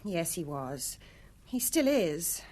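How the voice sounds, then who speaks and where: A woman's voice: lowish voice, big pitch swings, slow pace, dark tone, heavily acted. A woman playing a retired film star in a radio drama, imperious and defensive, with formal, troubled delivery. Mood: confessional, troubled.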